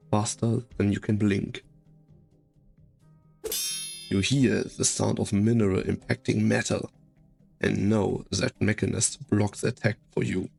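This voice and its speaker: A man's voice: fairly low pitch, gravelly, darkish tone, husky, exaggerated voice. A man playing the grave narrator of a second-person sci-fi story in an amateur fan dub.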